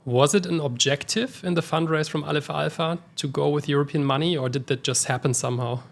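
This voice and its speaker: Man, brisk podcast host. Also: fairly high pitch, steady tone, creaky. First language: German. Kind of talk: casual interview talk.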